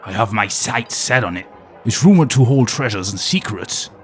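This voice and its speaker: A man's voice: on the low side, lively intonation, moderate pace, faint music behind, close to an everyday voice. A man playing an aging former nature documentary host with a wry, world-weary, conspiratorial manner. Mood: sly. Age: older.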